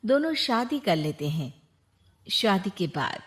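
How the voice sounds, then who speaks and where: A woman's voice: mid-range voice, big pitch swings, slow. A woman reading a scripted family story as its narrator.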